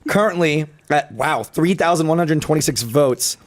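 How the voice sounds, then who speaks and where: A man's voice: a little high, moderate pace, over a noisy crowd. A man hosting a podcast in casual group banter, swearing freely. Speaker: podcast host.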